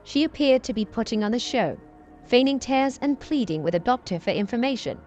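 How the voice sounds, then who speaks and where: A woman with a fairly high voice tells a story in a steady, unhurried read. Soft music plays behind her throughout. Her delivery is scripted and even. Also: muffled recording.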